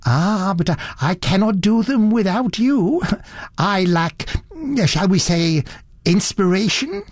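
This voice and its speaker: A dainty, fussy old gentleman with a fluttering, eager manner, as a male reader puts him on. His voice perches high and bounces lively between notes. The sound is close and dry. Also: slow pace, no room sound, heavily acted. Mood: admiring. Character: small fussy elderly gentleman, polite and curious.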